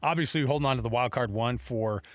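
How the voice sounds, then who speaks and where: A man of average pitch talks sports in a casual way as a radio co-host. His voice is recorded very dry. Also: fast, no room sound.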